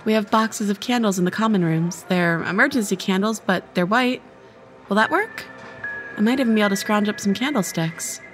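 A woman's voice: mid-range voice, a little fast, faint music behind, natural voice. A woman playing a breezy, persuasive, gossipy young college friend in an audio horror drama. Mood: obliging.

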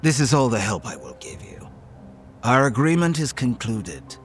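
An actor playing an enigmatic, disdainful supernatural man in a horror audio drama, speaking in formal, cryptic phrases with a hushed voice. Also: medium pitch, sing-song, normal speed, faint music behind, close to an everyday voice. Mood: cold, solemn.

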